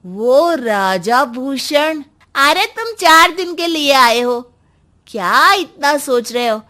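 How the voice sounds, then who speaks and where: A woman's voice: high voice, expressive, takes it slowly, sharp tone, voice pushed up, exaggerated voice. A woman voicing a cautious young villager in a cartoon story, friendly but dismissive. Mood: evasive.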